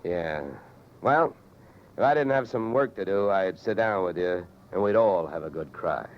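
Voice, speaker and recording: An actor with an American accent playing a hard-boiled, sardonic insurance investigator in vintage radio drama, delivering deadpan wisecracks. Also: male voice, low voice, moderate pace, background noise. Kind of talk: 1940s-50s radio detective drama, narration and dialogue. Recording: dull vintage radio recording with music.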